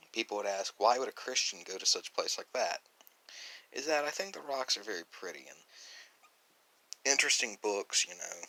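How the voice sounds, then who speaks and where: A man chatting casually in an ASMR video, rambling and halting, with frequent asides. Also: lowish voice, gravelly, pushed and bright, rough recording. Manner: rambling, halting, with asides and repeated "you know".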